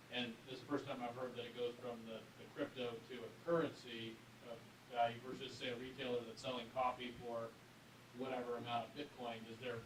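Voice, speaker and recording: A man's voice: mid-range voice, a bit bright. A man in the audience asking the panel a clarifying question out of curiosity. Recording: distant mic with faint hiss.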